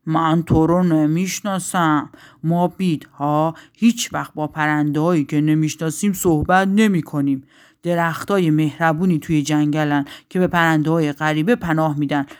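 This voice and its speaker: A woman's voice: low voice, steady tone, darkish tone, clear, resonant tone, lightly acted. A woman voicing a proud, unfriendly willow tree in a children's story, dismissive and snobbish. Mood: haughty.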